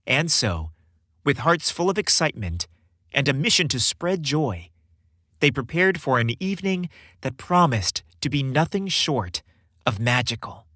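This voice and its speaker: A man's voice: medium pitch, big pitch swings, a little slow, sharp tone, no echo, studio quality. A man narrating a scripted holiday story in a dramatic storybook style.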